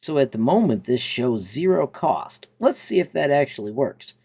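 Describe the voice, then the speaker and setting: A man's voice: medium pitch, very animated, normal speed, gravelly, dark tone, husky. Upbeat man hosting a tutorial. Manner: upbeat, enthusiastic. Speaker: tutorial host. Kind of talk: casual software tutorial narration.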